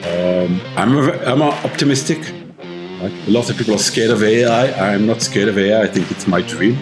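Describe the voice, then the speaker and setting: A man's voice: on the low side, moderate pace, music in the background. A middle-aged man from London with an Israeli-tinged English accent, a guest on a podcast interview, telling stories with lots of fillers and restarts. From London, England. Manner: rambling storyteller, many fillers and restarts. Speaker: author and tech founder, guest.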